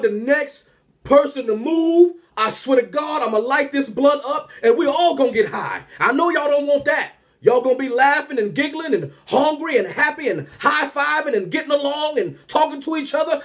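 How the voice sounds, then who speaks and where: Exasperated high-voiced comedian ranting. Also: male voice. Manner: animated, exasperated, rhetorical questions. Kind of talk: comedic rant to camera. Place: small room at home, indoors.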